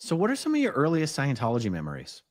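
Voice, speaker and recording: A man interviewing guests in relaxed, agreeable conversation. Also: mid-range voice, fast, no echo.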